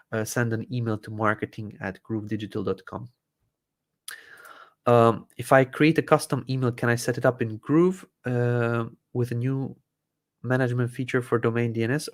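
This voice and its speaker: A man from Croatia hosting a live Q&A, walking viewers through software settings step by step with many fillers and restarts. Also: mid-range voice. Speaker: host answering user questions. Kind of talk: live Q&A tech support walkthrough.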